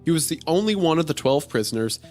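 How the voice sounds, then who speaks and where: A man reading as a deadpan gas station clerk, telling a strange story in the first person in a dry way. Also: on the high side, fast talker, close to an everyday voice. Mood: dry, matter-of-fact. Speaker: podcast host reading a horror story aloud. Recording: music behind the voice.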